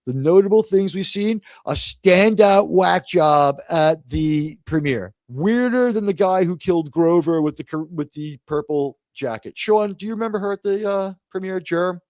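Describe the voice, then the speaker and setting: A man's voice: sits high, normal speed, hardly any pauses, no echo, clean studio sound. A man from Queens, New York hosting a live discussion show, blunt and opinionated, swearing freely. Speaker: show host. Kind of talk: casual live panel talk.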